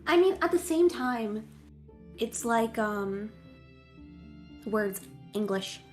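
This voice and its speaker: Animated, self-mocking American woman. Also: fairly high pitch, slow pace, soft background music. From United States. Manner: self-deprecating, animated and expressive. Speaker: guest streamer. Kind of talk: casual streamed chat.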